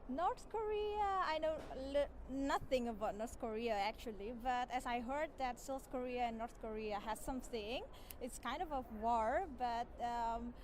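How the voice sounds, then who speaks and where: A woman's voice: on the high side, a little slow. A young adult woman with an Indonesian accent, answering informally and tentatively in simple English. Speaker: exchange student interviewee. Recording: clear ambient background noise.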